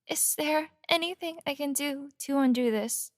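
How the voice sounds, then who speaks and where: A woman's voice: high-pitched, moderate pace, no echo, clean studio sound, natural voice. A woman playing a deferential magician who serves a prince in an audio drama, speaking formally and hesitantly. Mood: cowed, apologetic. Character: deferential young magician, quietly jealous of her prince.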